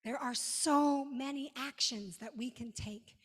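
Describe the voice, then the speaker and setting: A woman rabbi giving a sermon in an emphatic manner, with dramatic pauses and short repeated phrases. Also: sits high. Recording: long reverberant hall.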